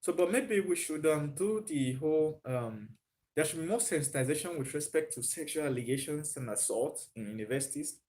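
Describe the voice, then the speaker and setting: A man's voice: on the high side, animated, a little fast, darkish tone. A man hosting a live stream, thinking aloud with frequent fillers and answering viewer comments. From Nigeria.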